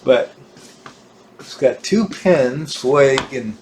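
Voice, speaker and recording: A man giving an informal hands-on equipment demonstration, halting and repeating himself as he searches for words. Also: medium pitch, lively intonation, a little mellow, a little hiss or hum. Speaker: gear demonstrator.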